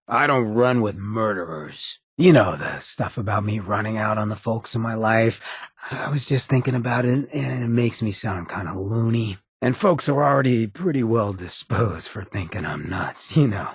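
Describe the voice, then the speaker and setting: Very raspy, roguish ghoul. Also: male voice, on the low side, lively intonation, a little slow, no echo, studio quality, heavily acted. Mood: confessional. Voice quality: husky, gravelly rasp. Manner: streetwise slangy drawl with swearing. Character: raspy, roguish ghoul mayor, streetwise, blunt, loyal. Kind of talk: video game companion dialogue.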